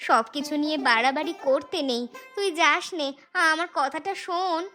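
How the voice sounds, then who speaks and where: A woman's voice: sits high, moderate pace, pushed and bright, faint music behind. A woman voicing a protective elder sister in a cartoon fairy tale, talking in a cautioning, reassuring manner. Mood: worried, cautioning.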